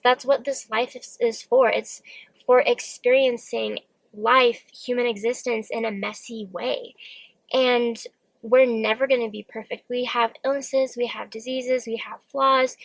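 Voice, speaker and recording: A young woman speaks openly and earnestly from inside her car, now and then catching on a stammer. Her voice sits fairly high with a gravelly, fry-edged texture, close and dry with no room sound. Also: no echo, poor audio. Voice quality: occasional stammer. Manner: open, earnest, self-reflective. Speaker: vlogger. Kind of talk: candid solo talk to camera. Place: parked car.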